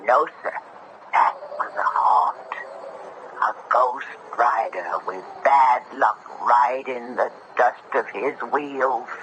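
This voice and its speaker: A man's voice: mid-range voice, slow pace, lo-fi sound, close to an everyday voice. An actor playing an elderly, folksy New countryman telling a ghost tale in a 1970s radio drama. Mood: awed. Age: older. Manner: folksy, rambling, emphatic. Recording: noisy vintage radio broadcast.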